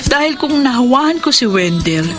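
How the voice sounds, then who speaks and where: A woman's voice: high voice, big pitch swings, normal speed. An actress with a Filipino accent playing an anguished, guilt-ridden woman in an emotional, pleading radio drama style. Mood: remorseful narration. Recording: radio drama with loud music bed.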